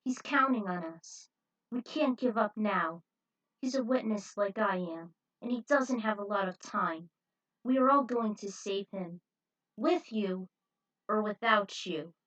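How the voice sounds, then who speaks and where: A woman's voice: medium pitch, animated, unhurried, fairly bright tone, far from the mic. A woman voicing a resolute female team leader, earnest and firm, in a story reading. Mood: earnest resolve. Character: steady, resolute woman leader consoling a teammate. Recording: dull, muffled lo-fi audio.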